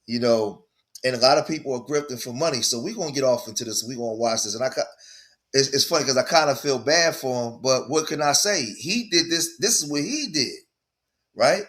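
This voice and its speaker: A man talks straight to his viewers in a loose, chatty commentary voice. His pitch sits in the ordinary middle range. He stammers over words and keeps tagging his sentences with "right?". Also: fairly bright tone. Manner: stutters when anxious, repeats "right?". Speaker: reaction commentary host.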